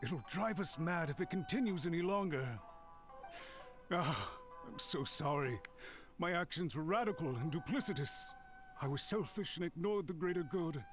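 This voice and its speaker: A man's voice: a little high, expressive, normal speed, over music, lightly acted. A man playing an anxious, contrite official in an anime game, speaking formally and deferentially, as if pleading. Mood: remorseful. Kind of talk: scripted anime game voice acting.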